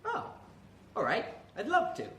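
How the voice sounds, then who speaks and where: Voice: medium pitch, huge pitch swings, measured pace. An actor playing a polite, eager, chirpy young trick-or-treater in an old-time horror radio play. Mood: bright, curious. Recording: echoey live stage, loud noise.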